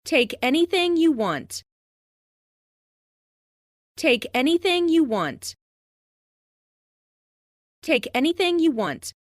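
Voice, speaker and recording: A woman reading scripted English example sentences for language learners, enunciating carefully and deliberately. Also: high-pitched, very animated, no room sound, studio quality. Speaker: English lesson narrator.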